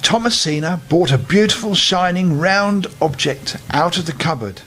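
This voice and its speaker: An older man narrates a children's bedtime story in a rather high voice. His intonation is lively and expressive, and he talks straight to the young listeners. Some noise runs in the background. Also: normal speed.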